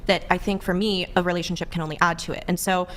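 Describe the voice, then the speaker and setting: A woman in a panel discussion, explaining her views in a measured, reflective way. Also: lowish voice, steady tone, fairly bright tone, a little hiss or hum.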